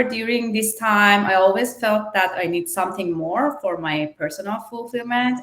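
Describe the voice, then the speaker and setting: A woman telling her career story on a panel, friendly and lively with some hesitations. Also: medium pitch. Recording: roomy echo.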